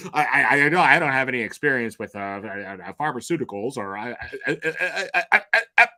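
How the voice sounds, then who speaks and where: A man of ordinary pitch hosts a reaction commentary. He talks in a sarcastic, profane way and stumbles on repeated words as he ridicules what he has just heard. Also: animated, normal speed, bright, forceful tone. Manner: sarcastic, profane, stammering, laughs at clips. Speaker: commentary host.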